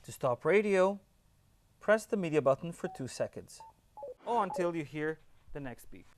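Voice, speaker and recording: A man's voice: fairly high pitch, lively intonation, moderate pace, slight background noise. A man with a slightly raised voice presents a scripted tutorial. He explains each step in an upbeat, instructional way.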